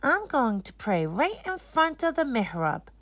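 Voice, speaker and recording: Woman doing an eager, high-voiced little boy. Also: big pitch swings, unhurried, no echo, exaggerated voice. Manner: bright questioning and exclaiming.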